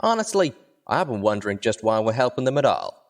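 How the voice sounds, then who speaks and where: A man's voice: mid-range voice, sing-song, a little fast, pitched above the performer's own voice. A man voicing a plain-spoken, resolute male cowboy pegasus with a folksy, matter-of-fact drawl. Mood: blunt.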